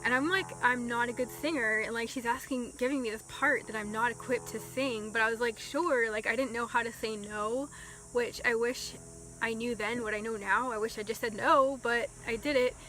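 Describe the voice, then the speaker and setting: A young woman telling a casual storytime vlog, talking slowly and softly with many ums and likes. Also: fairly high pitch, fairly even intonation, soft background music, low-quality recording. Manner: slow, soft-spoken with many ums and likes. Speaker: vlogger.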